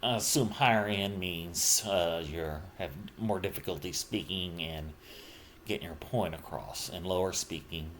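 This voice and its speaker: A middle-aged man talking casually and reflectively about himself, in halting, broken phrases with trailing pauses. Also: low-pitched, steady tone, a bit bright, slight background noise. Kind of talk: casual personal monologue, rambling reflection.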